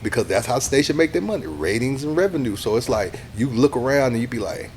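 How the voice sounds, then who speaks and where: A middle-aged man, a radio DJ talking casually in an interview, animated and acting out what other people say. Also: mid-range voice, fast talker, a little hiss or hum. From Texas, USA. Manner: animated, acts out quoted people, says "you know what I'm saying" a lot.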